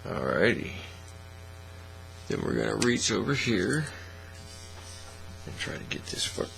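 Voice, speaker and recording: A middle-aged man giving a casual, folksy, unhurried how-to demonstration at an indoor hobby bench. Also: lowish voice, sing-song, creaky, loud music behind the voice, poor audio. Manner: folksy, unhurried step-by-step talk. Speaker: hobby craft tutorial host. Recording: faint mains hum and noise.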